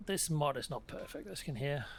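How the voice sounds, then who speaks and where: A man's voice: a little high, animated, a little fast, vocal fry, slight background noise. A man giving casual, playful and wry commentary as he plays a video game. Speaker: let's play streamer. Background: faint game music.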